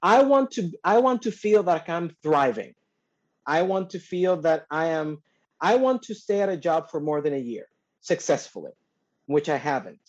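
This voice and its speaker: A woman's voice: low voice, very animated, normal speed, a little mellow, dull audio. A woman in her thirties, a coaching client, talking candidly and self-reflectively about her own habits. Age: 30s.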